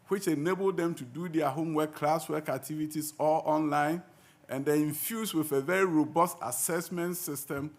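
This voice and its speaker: A man, an education minister, giving a conference speech, earnest and emphatic, with some fillers and restarts. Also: fairly high pitch. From Ghana. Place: conference hall.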